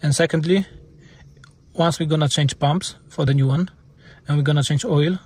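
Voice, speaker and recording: A man with a Polish accent, a native Polish speaker, explaining car repairs informally as a mechanic in halting, non-native English. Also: a little high, darkish tone, slightly rough.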